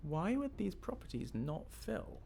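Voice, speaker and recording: Male streamer and mod developer, animated pitch with a gravelly edge, casual, thinking out loud while troubleshooting. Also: measured pace, a little hiss or hum. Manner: thinking aloud, muttering to himself. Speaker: game mod developer and livestream host.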